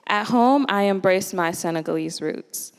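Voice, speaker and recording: A woman's voice: mid-range voice, moderate pace, clean tone, big-room sound. A young American woman, a student giving a friendly, earnest presentation with slides at a forum. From United States. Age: young adult.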